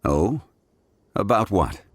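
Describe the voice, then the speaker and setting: An actor playing an ancient, stoic god in human form in a video game, speaking in a measured, formal and grave manner. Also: male voice, on the low side, animated, dry recording. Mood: reserved. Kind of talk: video game story voice acting.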